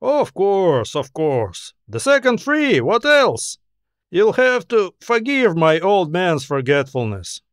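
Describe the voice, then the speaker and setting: Sci-fi audiobook role: man as elderly scientist, higher-pitched, mild, playful, self-deprecating, dry recording. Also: very animated, slow, fairly bright tone, no echo, heavily acted. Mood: amused, wry. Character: genial, wry old scientist, playfully forgetful.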